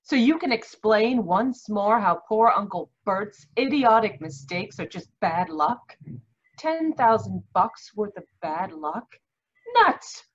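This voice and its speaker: A woman playing a haughty, bitter, sharp-tongued middle-aged aunt in 1940s radio drama style, domineering and husky. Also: medium pitch, lively intonation, takes it slowly, muffled recording. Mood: scornful anger. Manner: domineering, scornful.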